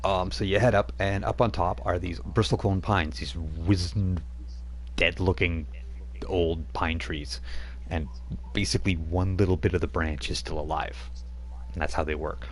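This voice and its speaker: A man's voice: low voice, steady tone, normal speed, a little hiss or hum. A man running a tabletop roleplaying game as game master, telling the scene in a rambling, chuckling way with many fillers.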